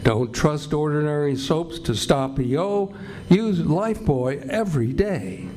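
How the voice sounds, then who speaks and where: Male oldtime radio announcer, declamatory ad reads, kinda high voice, faint music behind. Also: animated, measured pace, dark tone. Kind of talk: old-time radio announcing, scripted ad reads.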